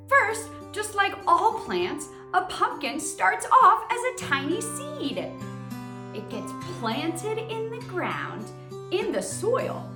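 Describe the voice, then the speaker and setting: A woman's voice: sits high, sharp tone. A woman hosting a children's lesson and read-aloud, speaking in an exaggerated child-directed way and putting questions to her listeners. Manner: exaggerated child-directed delivery, asking questions and pausing for answers. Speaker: children's teacher and host. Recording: roomy echo with plucked guitar music. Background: plucked guitar music.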